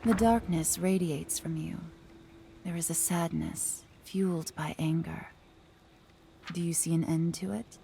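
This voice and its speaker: Sad immortal fox spirit for a game, low mellow voice, breathy n creaky. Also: female voice, steady tone, a little hiss or hum. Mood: intrigued, probing. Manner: measured, wistful. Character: ageless female fox spirit, pensive and sorrowful. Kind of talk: cinematic game acting.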